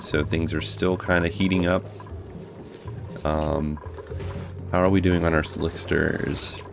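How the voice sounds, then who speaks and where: A hoarse man casually talking through his gameplay as a guide host, thinking aloud as he plays. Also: deep voice. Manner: thinking aloud, relaxed, restarts. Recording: music playing behind.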